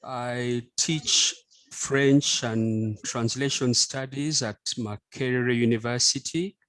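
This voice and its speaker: A man from Uganda introduces himself on a video call in a courteous, gently humorous way. He speaks very slowly at a mid pitch, and his voice sounds dry and close to the mic. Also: steady tone, dry recording, low-quality recording. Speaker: lecturer in French and translation. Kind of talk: self-introduction at an online conference.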